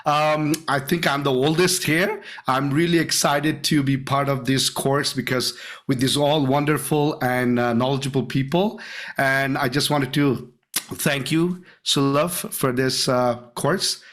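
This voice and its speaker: A man's voice: medium pitch, fairly even intonation, unhurried, a bit bright. A middle-aged man from Canada introducing himself informally and enthusiastically to classmates on a group video call. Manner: enthusiastic, chatty. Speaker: course student.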